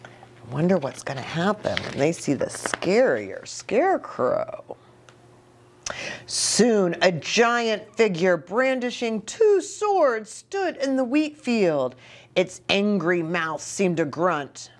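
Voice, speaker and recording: A middle-aged woman reading a picture book aloud to young children, animated, with chatty asides and questions for her listeners. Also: medium pitch, slow. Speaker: children's ministry storytime host. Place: small indoor reading nook with bookshelf and curtains.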